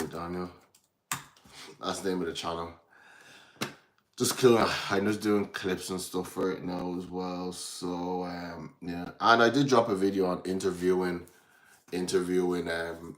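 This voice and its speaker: A man with a low voice and an Irish accent talks casually to his livestream viewers. He drifts between thoughts in a rambling, distracted way, breaking off to address people in the chat. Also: flat delivery, normal speed, a bit bright, slight background noise. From Ireland, from Dublin. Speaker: livestream host.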